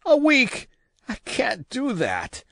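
A man voicing a weak, feverish old sea captain who curses and pleads in rough sailor talk. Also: very high-pitched, wildly swooping pitch, takes it slowly, fairly bright tone, dry recording, studio quality, pitched above the performer's own voice, heavily acted. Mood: agitated. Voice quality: feeble, wheedling.

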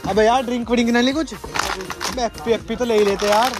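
A man's voice: extremely high pitch, a little fast, music in the background. A young man vlogging a night road trip, chatting with friends and addressing viewers directly. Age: young adult. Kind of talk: casual travel vlog. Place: roadside at night, outdoors. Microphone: phone camera at arm's length.

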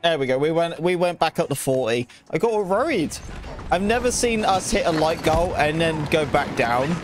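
A man's voice: fairly high pitch, expressive, normal speed, soft background music. A young man livestreaming a game, chatting casually with viewers and stuttering now and then. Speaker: gaming livestreamer. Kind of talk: casual livestream chat while playing. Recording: game sound mixed loud behind voice. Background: game gunfire and explosions.

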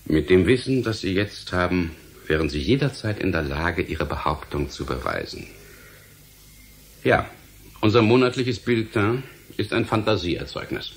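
An actor playing a stern, menacing government official in a German radio play, speaking in a formal, measured, authoritative way. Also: male voice, sits low, sing-song, a little hiss or hum. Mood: formal, self-assured. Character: stern, menacing male government official guarding forbidden science. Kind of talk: German radio drama acting.

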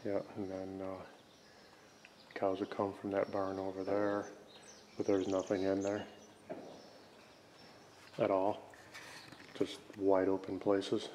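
A man with a fairly low voice narrates his exploration casually. He talks in musing, broken-up remarks as he looks around. The handheld camera recording carries clear background noise. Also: monotone, slow pace, long pauses, warm tone. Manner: musing, fragmented remarks while looking around. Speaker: urban explorer vlogger. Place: inside a dark abandoned barn. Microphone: handheld camera mic.

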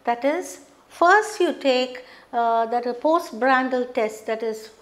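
A middle-aged woman teaching a beginners' lesson at a whiteboard, explaining terms slowly and checking in with frequent "okay". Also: high voice. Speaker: English teacher. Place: studio classroom with whiteboard.

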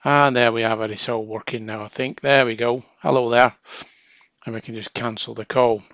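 A man presents a hands-on tutorial in an informal, plain-spoken way, one step at a time. His voice is crisp-edged and bright with noticeable creak, and the sound is dry with little room in it. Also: mid-range voice, fairly even intonation, moderate pace, no echo, dull audio. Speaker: technical trainer.

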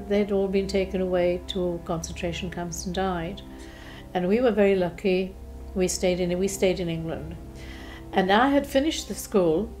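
An elderly woman in her eighties from Czechoslovakia recalls the past in a mellow, soft-toned voice. She speaks gently and unhurriedly, pausing and restarting often, with faint music under her. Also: mid-range voice, poor audio. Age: 80s. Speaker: interviewee. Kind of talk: recounting childhood memories. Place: living room, seated in armchair.

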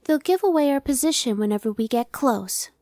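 Woman acting a sardonic demon scout, higher-pitched. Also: lively intonation, a little fast, warm tone, no echo, studio quality. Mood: tense, annoyed. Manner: conversational, dismissive, explanatory. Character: female demon searcher, sardonic, impatient scout on a mission. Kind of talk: ASMR roleplay, one-sided dialogue.

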